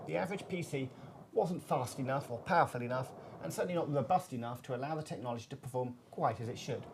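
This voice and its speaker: A man's voice: medium pitch, background noise. A man hosting a business web seminar, presenting from a prepared script.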